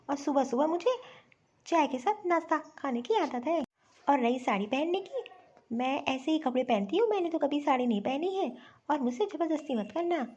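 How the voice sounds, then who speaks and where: Spoiled, scheming young bahu for family cartoon female actor; extremely high voice, whiny and bossy, dull recording. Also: darkish tone. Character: spoiled, scheming young city daughter-in-law. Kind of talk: Hindi family-drama cartoon voice acting.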